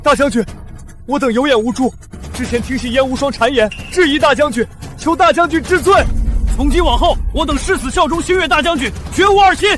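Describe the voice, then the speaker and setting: An actor playing a loyal, indignant army officer in a costume short drama, declaiming fervently over music. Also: male voice, extremely high pitch, brisk. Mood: contrite, fervent. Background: dramatic background music.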